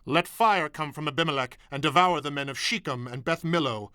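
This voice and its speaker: A bright, defiant young proclaimer. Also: male voice, on the high side, brisk, smooth, no room sound, studio quality. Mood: accusing, warning. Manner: declamatory, calling out to a crowd. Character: defiant young biblical man crying out a warning parable. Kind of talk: radio theatre Bible dramatization.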